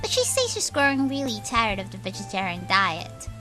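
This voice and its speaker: A woman's voice: mid-range voice, huge pitch swings, moderate pace, bright, forceful tone, soft background music. A woman in a game fan dub voicing an eager, sweetly polite young girl helper. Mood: polite, chatty.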